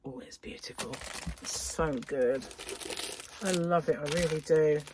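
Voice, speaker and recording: Unhurried, gushing woman, noisy crinkly recording. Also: sits low. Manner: gushing, admiring, often breathy asides. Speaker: craft vlogger and yarn shop owner. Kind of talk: casual unboxing chat to followers. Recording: clear background noise with crinkling wrappers. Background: crinkling cellophane, clicks and rustling.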